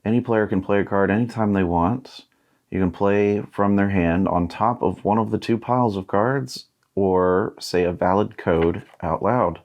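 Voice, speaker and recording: A man in his thirties with a deep, low voice. He talks casually as an unboxing host, musing aloud in a laid-back way and sometimes reading from what is in front of him. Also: darkish tone. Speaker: board game unboxing host.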